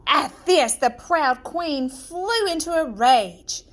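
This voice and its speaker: A woman reading a children's fairy tale aloud, with expressive, deliberate, dramatic storytelling. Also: high-pitched. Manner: measured, dramatic, deliberate phrasing.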